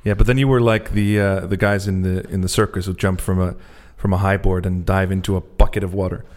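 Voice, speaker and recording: A man's voice: low voice, flat delivery, fairly quick, darkish tone. A man hosting a podcast in a casual, conversational interview style. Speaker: podcast host, songwriter.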